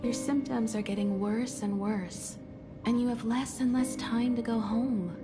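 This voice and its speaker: A woman playing a poised, devoted mature woman in anime game style, calm and reassuring, with a measured, gently teasing manner. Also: fairly high pitch, music in the background, natural voice. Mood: warm, eager.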